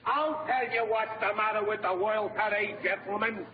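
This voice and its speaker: An actor playing a belligerent park soapbox orator, declaiming and sneering in old-time radio comedy style. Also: male voice, high-pitched, moderate pace, a little hiss or hum, lightly acted. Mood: ranting. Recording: muffled old radio broadcast, faint audience.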